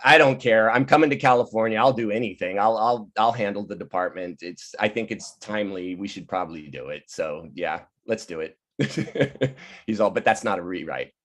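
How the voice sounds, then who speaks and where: A man telling anecdotes casually on a video call, lively and quick to act out what other people said. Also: on the low side. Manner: animated, laughing often, acting out quoted people. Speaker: playwright and director leading a virtual table read. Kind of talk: casual, anecdotal storytelling chat. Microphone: video call microphone.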